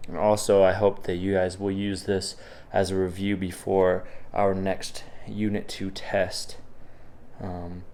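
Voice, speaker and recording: Deep-voiced, congested man teaching. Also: little pitch movement, slow, warm tone. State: sick, congested and sniffly. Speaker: physics teacher. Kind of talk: informal video lecture walking through practice problems.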